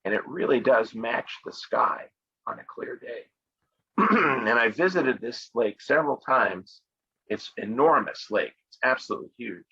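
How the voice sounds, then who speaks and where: A middle-aged American man talks through places to visit in a relaxed, friendly way. His voice has a gravelly edge and a husky, bright tone. The sound is dry and a little dull, like audio from a video call. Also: mid-range voice, no room sound. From United States. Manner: relaxed and friendly, speaks clearly with fillers and laughs. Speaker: guest speaker at an online English conversation club. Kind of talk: casual presentation on travel spots.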